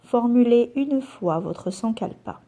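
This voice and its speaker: A woman guiding a relaxation meditation as a yoga nidra instructor, giving calm, soothing, measured instructions with pauses between them. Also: fairly high pitch.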